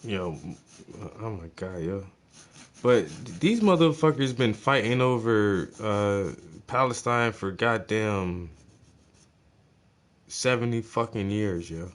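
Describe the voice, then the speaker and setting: Loud, swearing man reacting on stream. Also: fairly low pitch, takes it slowly. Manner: loud, excitable, swears constantly. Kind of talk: casual profane reaction chat.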